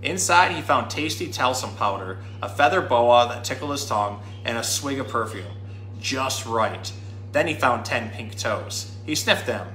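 A man in his thirties reading a children's story aloud in an animated, dramatic storytime manner. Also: mid-range voice, normal speed. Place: indoor room. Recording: roomy indoor sound with faint noise.

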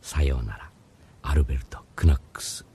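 A man's voice: rumbling low, fairly quick, warm tone, slight background noise. A man playing a mysterious, courteous philosophy teacher, narrating in a scripted radio drama. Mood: courteous, secretive.

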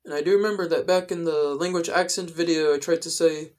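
A young adult man explaining things in a casual vlog style, with careful, halting phrasing, recorded indoors at home. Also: fairly high pitch, steady tone, darkish tone. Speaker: video creator. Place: indoors, small room at home.

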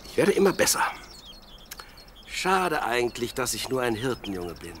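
A man's voice: mid-range voice, moderate pace, natural voice. An actor in a radio play voicing a dreamy, plucky young shepherd boy who muses aloud expressively. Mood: musing, cheerful. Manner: expressive, musing aloud to himself and animals. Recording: clear outdoor animal noise behind. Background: sheep, birds, outdoor ambience.

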